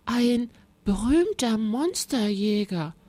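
A plucky young girl, played by a woman in a storybook tale, pitched well up high. She exclaims and pleads, scared but still defiant, with a dry, close sound. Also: takes it slowly, no room sound. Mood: timid.

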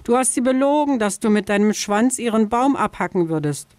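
A woman voicing a proud male scarecrow who guards a garden in a children's radio story, speaking gruffly and boastfully. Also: a little high, animated, brisk, a little mellow, dry recording, pitched above the performer's own voice, natural voice. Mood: smug.

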